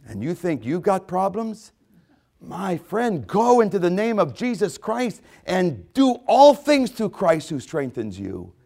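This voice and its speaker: A man preaching a sermon, reading scripture aloud and expounding on it. He repeats key phrases for emphasis and swings between loud exclamations and hushed asides. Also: high voice.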